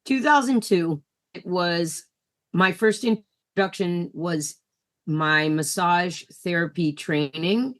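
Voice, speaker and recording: A woman answering a panel question in a deliberate, heartfelt way. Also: on the low side. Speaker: panelist, sauna operator.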